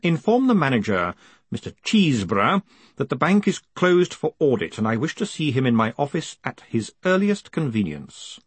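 A man voicing a calm, quietly commanding ruler with measured, formal, icily polite speech in an audiobook. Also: mid-range voice, breathy edge, no echo, voice pushed up. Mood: authoritative. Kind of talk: audiobook character acting.

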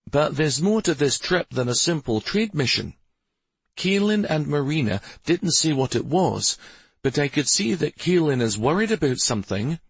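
A man acts out a young noblewoman from a fantasy audiobook. She is loving, teasing and hungry for adventure. Her voice sits high and swings expressively, with a slightly husky edge. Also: no echo, full character voice. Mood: reassuring. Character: adventurous, reassuring young noblewoman, a devoted wife.

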